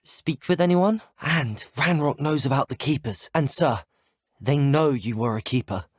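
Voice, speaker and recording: A man's voice: mid-range voice, unhurried, husky, dry recording. A young British man voicing a polite, inquisitive teenage wizard student in a video game, courteous and earnest. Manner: courteous, earnest, well-spoken. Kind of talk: scripted video game dialogue lines. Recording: dry, close studio voice recording.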